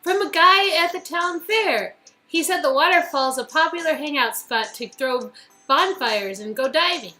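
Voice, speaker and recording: An eager, teasing young woman from a romance game, played by a woman. Her high voice is bright and swings widely, perky and full of curiosity. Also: animated, moderate pace. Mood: curious. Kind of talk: visual-novel dialogue reading.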